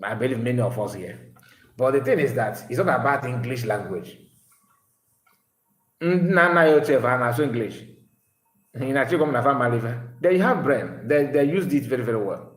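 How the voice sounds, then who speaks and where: A man's voice: medium pitch, animated, moderate pace, long pauses. A man, an Igbo speaker, hosting a live call-in stream and talking emphatically. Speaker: livestream host. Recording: roomy room sound, faint noise.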